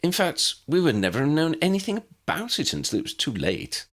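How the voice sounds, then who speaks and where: A man's voice: on the high side, huge pitch swings, a little fast, a bit bright, pitched above the performer's own voice, exaggerated voice. A man voicing an earnest, appreciative adult witch in an audiobook, speaking with emphasis. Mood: warm, grateful.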